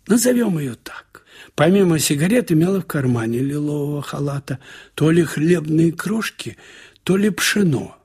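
A man narrating a short story in a conversational, wry way, with expressive asides, like a radio audiobook reader. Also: on the high side, vocal fry, breathy edge. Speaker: narrator reading literary prose.

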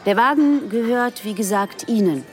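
A woman's voice: fairly high pitch, normal speed, faint music behind, a faint crowd, lightly acted. A woman playing a businesslike police detective around forty in a radio drama, dry, formal and matter-of-fact. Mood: stern, insistent. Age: 40s.

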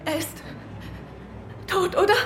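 An actress playing a spirited, curious and anxious detective's wife in a German radio play. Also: female voice, takes it slowly, background noise, natural voice. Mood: shocked, anxious.

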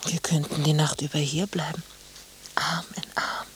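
A woman's voice: low voice, flat delivery, moderate pace, a little hiss or hum, natural voice. An actress playing a seductive, courtly woman who flirts in formal, teasing, ornate phrases in a German radio play.

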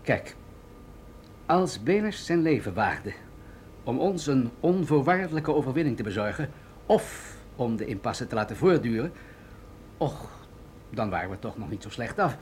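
An actor playing an authoritative, insistent military general in a radio drama, with a measured, persuasive delivery. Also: male voice, medium pitch, sing-song, a little mellow, slight background noise. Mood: grave, insistent. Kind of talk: Dutch radio drama acting.

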